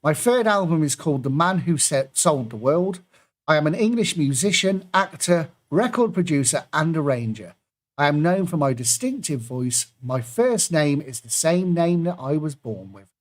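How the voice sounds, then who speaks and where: A man's voice: fairly high pitch, animated, normal speed. A man hosting an online pub quiz, reading out clues and adding jokey asides between them.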